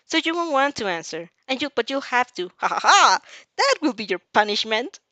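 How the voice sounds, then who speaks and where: A woman voicing a bubbly, affectionate teenage girl in a fan story reading, gushing and chirping with excitement. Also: fairly high pitch, brisk, no room sound, pitched above the performer's own voice. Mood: playful, chirpy.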